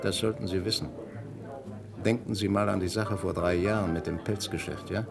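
An actor playing a shrewd, persistent police inspector in a vintage radio crime drama, blunt and probing. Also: male voice, low voice, steady tone, normal speed, gravelly, music in the background. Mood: stern, pressing. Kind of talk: German radio crime play acting, 1950s to 80s.

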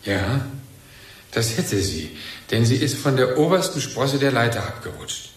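An actor playing a bereaved, agitated husband in a German radio play, probing and insinuating in halting, pointed phrases. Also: male voice, mid-range voice, big pitch swings. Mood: probing, suspicious. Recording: roomy, echoing radio drama recording.

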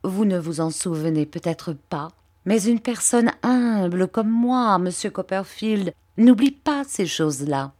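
A woman voicing a fawning, falsely humble young male clerk who speaks with wheedling, drawn-out emphasis. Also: mid-range voice, animated, a little mellow.

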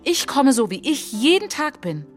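An actress narrating as a reflective, resolute woman looking back on her childhood in a German radio drama, in measured short phrases. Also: high-pitched, sing-song. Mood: intense, proud. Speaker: narrator of autobiographical monologue. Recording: music behind the voice.

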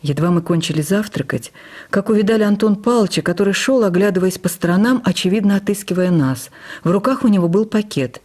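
A woman reading a memoir for radio as expressive, intimate literary narration. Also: medium pitch, fast talker.

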